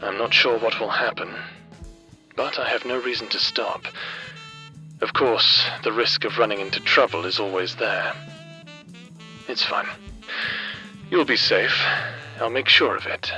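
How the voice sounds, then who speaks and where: A man playing an earnest, reserved private investigator in an anime roleplay audio drama, speaking in measured, formal phrases. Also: on the low side, sharp tone, soft background music, poor audio. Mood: tender, earnest. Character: earnest male private investigator, reserved, secretly fond of client.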